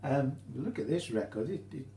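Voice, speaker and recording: A British man giving an informal, halting how-to demonstration, explaining each step as he goes. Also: mid-range voice, lively intonation, mellow. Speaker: hobbyist demonstrator. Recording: roomy echo, faint hum.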